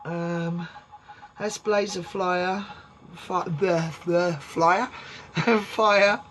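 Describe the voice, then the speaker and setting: A woman with a gruff voice from a sore throat, chatting casually and rambling with many ums as she shows round her greenhouse on camera. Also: on the low side, steady tone, very slow, a bit bright, poor audio. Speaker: allotment vlogger. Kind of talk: casual walk-through chat. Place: greenhouse on an allotment, outdoors. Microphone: camera on a tripod. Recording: outdoor noise, lawnmowers and traffic behind.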